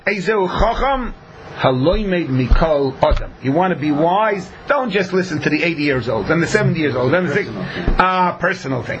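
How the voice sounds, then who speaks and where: Male rabbi lecturer, slightly high pitch, storytelling teacher, noisy recording. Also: dull audio. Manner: mixes in Hebrew and Yiddish phrases, storytelling, rhetorical questions. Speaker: rabbi giving a Torah lecture. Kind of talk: religious lesson with acted-out dialogue.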